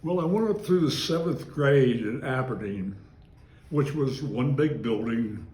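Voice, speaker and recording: An elderly man in his nineties recalls his youth at his own unhurried pace, drifting off on side stories and chuckling now and then. His voice sits at an ordinary middle pitch. The room around him is noticeably echoey. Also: lively intonation, darkish tone. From Maryland, US. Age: 90s. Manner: rambling, digressive, chuckles while recalling. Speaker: interviewee. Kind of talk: oral history reminiscence.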